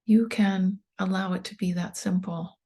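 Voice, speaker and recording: A woman leading a guided meditation in a soft, breathy voice, calm and soothing, with unhurried pauses. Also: mid-range voice. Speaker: meditation guide, tapping coach.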